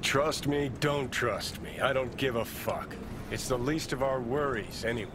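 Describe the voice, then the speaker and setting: A man's voice: mid-range voice, normal speed, noisy background, lightly acted. A man with an American accent playing an arrogant, cynical, abrasive middle-aged rebel rockstar in a cinematic video game, profane and sardonic. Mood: dismissive.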